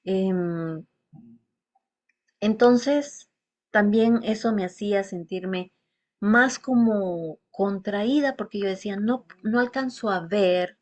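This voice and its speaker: A woman telling her personal life story to an audience in a reflective, halting way, often pausing mid-phrase. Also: medium pitch, darkish tone. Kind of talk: confessional monologue to an audience.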